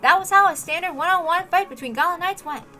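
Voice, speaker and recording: Bright, high-voiced woman narrating. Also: fast, soft background music. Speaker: reader narrating a visual novel. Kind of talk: expressive dramatic narration.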